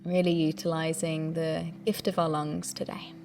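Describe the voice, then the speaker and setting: A woman leading a guided breathing meditation, giving gentle, soothing instructions in a calm, unhurried way. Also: low voice, creaky, soft background music.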